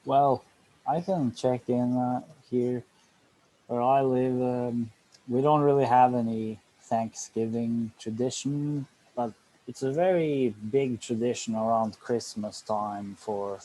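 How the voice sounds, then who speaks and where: A man's voice: medium pitch, warm tone, a little hiss or hum, low-quality recording. A man chatting casually and a little hesitantly about his holidays. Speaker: group participant. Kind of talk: casual chat.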